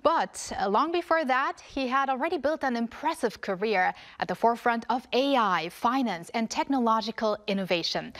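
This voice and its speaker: A woman's voice: high-pitched, fairly bright tone. A woman hosting a studio interview in a bubbly way, laughing often. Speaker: talk show host.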